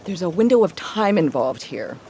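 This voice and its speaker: A woman's voice: on the low side, big pitch swings, moderate pace, mellow, a little hiss or hum. An actress playing a determined young woman, polite but urgently insistent, in an audio drama. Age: young adult. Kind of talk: full-cast audio drama acting.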